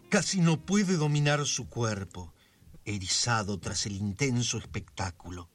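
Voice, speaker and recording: A man's voice: mid-range voice, fairly bright tone. A man with a Buenos Aires accent delivering scripted, dramatic narration for a radio serial. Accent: Argentine, Buenos Aires. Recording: music behind the voice.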